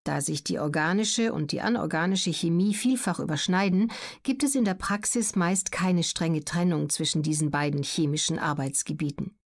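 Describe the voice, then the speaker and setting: A woman reads scripted educational narration as an audiobook narrator, measured, factual and clearly articulated. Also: lowish voice.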